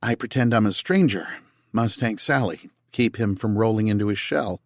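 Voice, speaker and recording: A poised young woman speaks briskly, teasing and quick, as a man puts her on. Her voice sits higher than the narrator's low tone. The recording is dry. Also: no echo, lightly acted.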